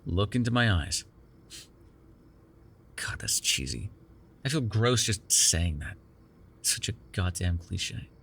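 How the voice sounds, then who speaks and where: Male actor as sardonic male vampire, prickly yet caring, teasing, ASMR roleplay, bright voice, animated intonation. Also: low voice, takes it slowly, vocal fry, pauses often, breathy edge, full character voice. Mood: wry, reluctant. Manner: teasing, sarcastic, breaking into exasperation and soothing murmurs.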